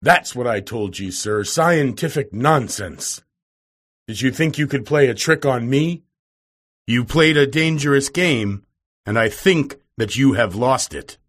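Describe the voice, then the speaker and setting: Audiobook role: hot-tempered, mocking professor played by a man, loud and challenging, swinging pitch, husky edge. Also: very animated, a little slow, exaggerated voice. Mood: furious. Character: huge, bearded, roaring, hot-tempered, arrogant male professor. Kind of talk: audiobook character voice.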